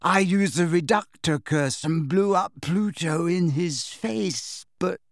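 A man voicing a calm, dreamy teenage girl in a storybook, with a soft, wistful, airy manner. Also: high voice, slow, dry recording, studio quality, pitched above the performer's own voice. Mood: hushed, dreamy.

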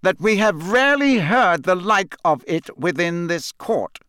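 Man doing stern old judge bellowing, raised and slow, dry room. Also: high-pitched, sing-song, fairly bright tone, no echo, voice pushed up, heavily acted. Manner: bellowing, clear pronouncements. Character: stern, hate-filled older male judge.

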